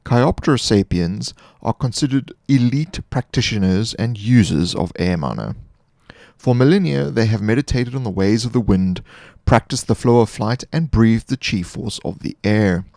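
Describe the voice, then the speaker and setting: Low-voiced man narrating fantasy lore. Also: expressive, moderate pace, gravelly, a little mellow, dry recording, clean studio sound. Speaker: narrator of tabletop game monster lore. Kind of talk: scripted narration.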